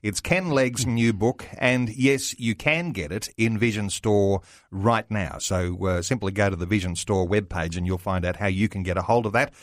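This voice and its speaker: A man hosting a radio talk show, speaking in a measured way with fillers. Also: on the low side, no room sound, clean studio sound. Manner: measured, uses fillers, slows for key phrases. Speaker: radio host.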